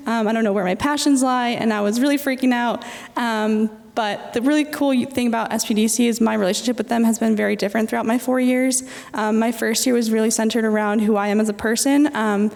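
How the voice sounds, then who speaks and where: A woman's voice: a little high, darkish tone. A senior student in her twenties from Chile, speaking conversationally with frequent fillers on a panel. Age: 20s. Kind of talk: panel discussion. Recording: long hall reverb.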